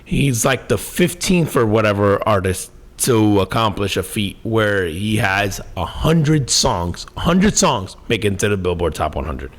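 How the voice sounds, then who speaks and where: A man's voice: lowish voice, sing-song, measured pace, hardly any pauses. A man co-hosting a podcast in casual chat, enthusiastic and slangy, swearing freely. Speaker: podcast co-host.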